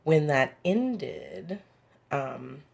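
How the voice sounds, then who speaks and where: Casting note: female musician guest, mid-30s, lowish voice, open and chatty, quick to laugh. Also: big pitch swings, creaky, darkish tone. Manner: candid, laughs easily, chatty with fillers. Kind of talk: casual interview chat.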